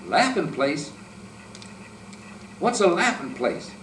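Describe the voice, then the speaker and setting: A man's voice: fairly high pitch, huge pitch swings, takes it slowly, a bit bright, slight background noise, pitched above the performer's own voice, full character voice. A man voicing a mean, sly male fox who snarls and bosses, recorded in an echoey room from a distance. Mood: demanding. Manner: snarling, bossy, demanding.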